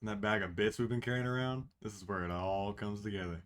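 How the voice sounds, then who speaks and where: A man with a lowish voice reads a character's lines and jokes in a relaxed group reading. He chuckles now and then between lines. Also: quick pace, clean tone. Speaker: co-reader. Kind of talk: casual group reading and chat.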